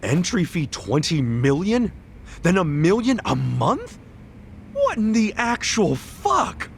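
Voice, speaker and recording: A brash, animated investigator. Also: male voice, sits high, takes it slowly, gravelly, a little hiss or hum, exaggerated voice. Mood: incredulous. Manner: blunt, expressive. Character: brash, big-hearted, foul-mouthed male investigator.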